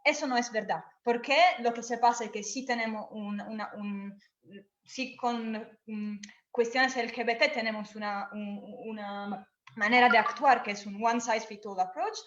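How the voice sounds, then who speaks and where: A woman who is not a native Spanish speaker talks on an online panel, haltingly, often repeating words as she searches for phrasing. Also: a little high, steady tone, a bit bright. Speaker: activist on a panel. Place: online video call. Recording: muffled lo-fi online call audio.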